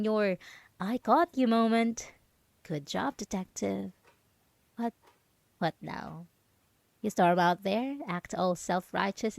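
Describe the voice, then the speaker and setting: Woman acting a slow, creaky-voiced possessive yandere roommate. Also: mid-range voice, no echo. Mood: mocking, smug. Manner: taunting, slow and deliberate, slipping into breathy whispers. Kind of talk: ASMR roleplay monologue, acted.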